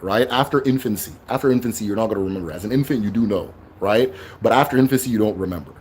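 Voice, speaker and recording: A man hosting a livestream, explaining ideas in a loud, emphatic voice and checking in with a frequent "right?". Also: medium pitch, husky. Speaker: livestream host teaching spirituality. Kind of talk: casual livestream lecture.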